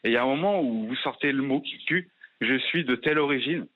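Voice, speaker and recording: A man calling into a radio show, giving an impassioned, indignant testimony. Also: medium pitch. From France. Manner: impassioned, indignant, rapid-fire. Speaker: caller. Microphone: phone. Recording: muffled lo-fi phone line.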